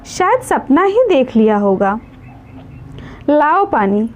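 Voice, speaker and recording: A woman's voice: sits high, big pitch swings, slow pace, a little mellow, heavily acted. A woman voicing an affectionate husband roused from sleep in a story, familiar and plain-spoken. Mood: easygoing.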